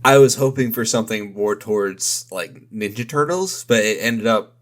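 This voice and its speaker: Easygoing low-voiced man. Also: animated, moderate pace. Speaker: podcast co-host. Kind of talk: casual movie review chat.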